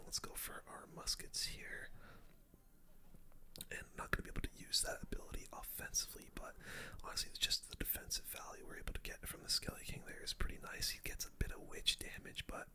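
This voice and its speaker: A person whispering casual, slangy play-by-play as an ASMR gaming host, in a breathy unvoiced whisper close to the microphone. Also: fairly quick, pushed and bright. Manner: casual slangy play-by-play, muttering through moves.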